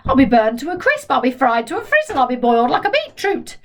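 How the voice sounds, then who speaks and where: A woman's voice: very animated, fast, far from the mic. A woman voicing a cranky, bossy old grandmother who nags rudely and screeches in panic. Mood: panicked. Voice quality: shrill, piercing screech. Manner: nagging and rude, then frantic yelling. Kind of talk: storybook character voice.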